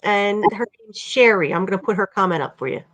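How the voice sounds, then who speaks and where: A woman's voice: mid-range voice, a little fast, a bit bright, no room sound. A woman co-host briefly passing viewer comments to the reader.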